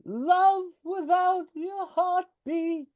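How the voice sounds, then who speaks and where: A woman singing as the male Goblin King, a proud ruler, slowly and intensely in a live reading over a video call. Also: extremely high pitch, highs cut off, heavily acted. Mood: yearning. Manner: slow, intense sung lines. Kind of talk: singing in a live read-through. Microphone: computer mic on a video call.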